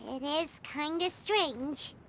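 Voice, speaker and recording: A woman voicing a small, childlike, excitable fairy companion in an anime-style video game, with a squeaky voice and wondering exclamations. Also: slow, slight background noise. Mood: puzzled. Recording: music playing behind the voice.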